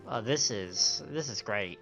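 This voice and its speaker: Sniffly man streaming, slightly low-pitched and dull-sounding. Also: expressive, a little slow, fairly bright tone. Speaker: game livestream host. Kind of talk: casual stream chat. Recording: dull lo-fi stream audio, faint music.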